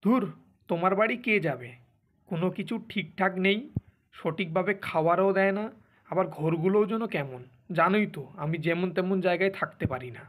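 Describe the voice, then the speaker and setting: A man's voice: high voice, brisk, fairly bright tone. A man voicing a boastful, lazy husband from a faded landlord family in a Bengali cartoon, with a pompous, bragging manner. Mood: dismissive.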